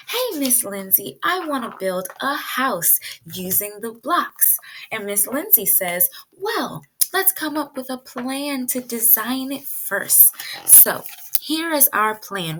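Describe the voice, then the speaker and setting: A woman with a fairly high, highly animated voice speaks as a pre-K teacher. She takes her time, walking young listeners through a read-aloud with simple explanations and expressive questions. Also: low-quality recording.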